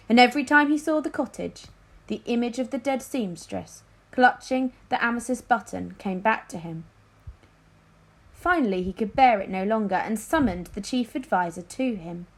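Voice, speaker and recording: A woman reading a children's story aloud in an expressive, teacherly narration. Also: mid-range voice.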